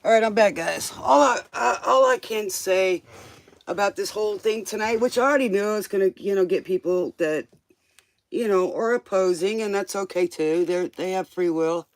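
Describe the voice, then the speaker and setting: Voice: mid-range voice, normal speed. A livestream tarot host chatting casually in a rambling, informal way, drifting between thoughts and tossing out questioning asides.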